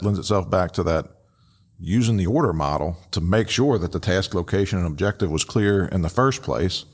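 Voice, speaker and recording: A man hosting a podcast with casual, unscripted commentary, speaking haltingly and often repeating his words. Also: low voice. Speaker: podcast host.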